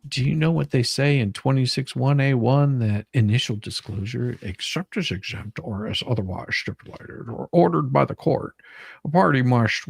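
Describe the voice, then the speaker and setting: Guy reading as a methodical lawyer, gravelly and husky, formal questions, bone-dry recording. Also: mid-range voice, dry recording. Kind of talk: dramatic reading of a deposition transcript.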